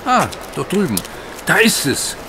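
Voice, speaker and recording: A man acting in a German audio drama as an eager, cheerful gentleman with a brisk, urging manner. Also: high-pitched, very animated, heavy background noise, full character voice. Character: eager, cheerful gentleman leading his friend to an auction.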